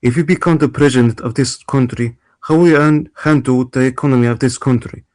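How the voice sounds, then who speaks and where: A man reading the part of a formal debate moderator in an amateur play table read, deliberate and repeating the odd word. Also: medium pitch, steady tone, darkish tone, natural voice. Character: formal, measured male presidential debate moderator.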